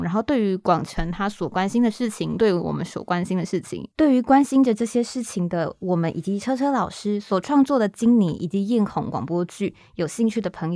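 A woman's voice: mid-range voice, a little mellow, no room sound, clean studio sound. A woman from Taipei with a Taiwanese Mandarin accent, chatting effusively as a podcast host in a casual interview. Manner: chatty, effusive, self-deprecating.

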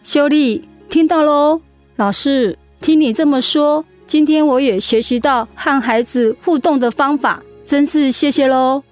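Radio drama confidante: high voice, frank, scolding but caring. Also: female voice, a little slow, faint music behind. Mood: friendly. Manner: chiding, persuasive.

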